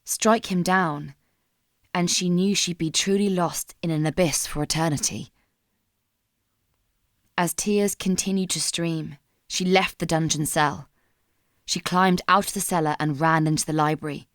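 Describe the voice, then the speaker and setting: Woman reading a dramatic story, kinda low voice, somber n measured, no room echo at all. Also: steady tone, studio quality. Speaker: narrator. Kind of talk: dramatic scripted story narration.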